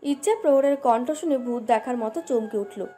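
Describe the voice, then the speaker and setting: Female narrator for romantic audio fiction, high register, scripted read with a light music bed underneath. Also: normal speed, warm tone. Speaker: story narrator. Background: background music.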